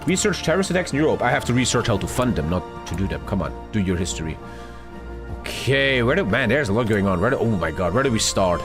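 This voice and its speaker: A young man streams a strategy game live, his voice leaping all over the place as he rants. He swears loudly and talks straight to his chat, with game music faint under him. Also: fairly low pitch, big pitch swings, quick pace.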